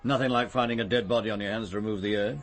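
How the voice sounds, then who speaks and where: An actor playing a brisk, darkly wry police doctor in a radio drama, clinical and matter-of-fact. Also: male voice, on the low side, steady tone, a bit bright, soft background music.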